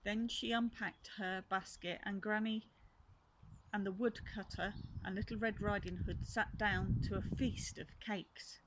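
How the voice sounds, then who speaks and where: An older woman, a grandmother, reads a children's storybook aloud, adding gentle asides for young listeners. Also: medium pitch, steady tone, measured pace. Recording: faint background noise.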